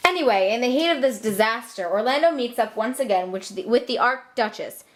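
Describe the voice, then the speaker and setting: Young woman telling a funny story, kinda flippant, highish voice, really animated. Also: a little fast. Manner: flippant, casual. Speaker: narrator. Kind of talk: comic scripted storytelling.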